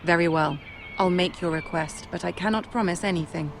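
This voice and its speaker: Low-voiced, regal young noblewoman. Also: creaky, noisy background. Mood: grave, cautious. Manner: measured, regal, composed. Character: poised young noblewoman, future queen, shrewd and courteous. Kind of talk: cinematic video game voice acting.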